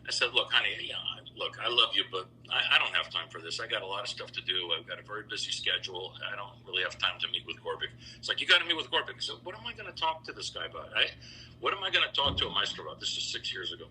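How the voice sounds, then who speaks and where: Middle-aged man, lowish pitch, sharp forceful tone, conversational storyteller, interview guest, faint background hum. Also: very animated, quick pace, poor audio. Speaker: interview guest, businessman and choral bass singer.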